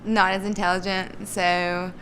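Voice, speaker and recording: A woman's voice: mid-range voice, little pitch movement, a little slow, fairly bright tone, slight background noise, rough recording. A woman with a Southern accent, candid and chatty about her speech.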